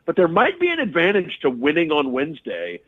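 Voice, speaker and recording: A man talking sports as a guest analyst in a conversational, halting way, often repeating words mid-sentence. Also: fairly high pitch, wildly swooping pitch, slightly rough. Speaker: sports radio host and play-by-play broadcaster, podcast guest. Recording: tinny, phone-like remote connection.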